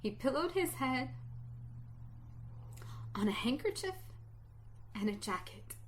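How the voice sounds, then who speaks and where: A woman reading a story aloud as narrator, in a slow, expressive telling that builds to exultant emotion. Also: high voice, slight background noise.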